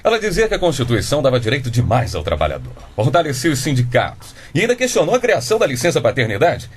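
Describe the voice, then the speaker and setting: A man's voice: medium pitch, wildly swooping pitch, fast, breathy edge. A man playing an indignant, self-righteous husband and schoolteacher in a comic radio drama, pompous and theatrical.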